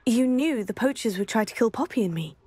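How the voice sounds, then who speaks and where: A woman playing a composed, helpful teenage schoolgirl adventurer in a video game, polite and matter-of-fact with a husky voice. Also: fairly high pitch, lively intonation, brisk, mellow, no room sound. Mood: questioning, determined.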